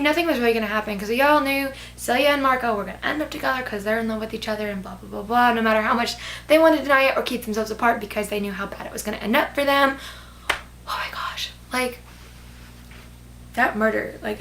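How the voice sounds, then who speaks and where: Hoarse young woman gushing about a book. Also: on the high side, a bit bright, a little hiss or hum. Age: teens. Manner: gushing, rambling with many "like"s. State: hoarse, losing her voice. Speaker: book vlogger. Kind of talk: casual book review.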